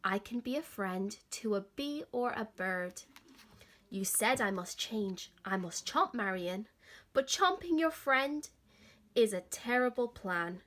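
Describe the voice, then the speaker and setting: A woman reading aloud, voicing a gentle, thoughtful male lion in a soft, earnest way. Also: medium pitch, expressive, slow.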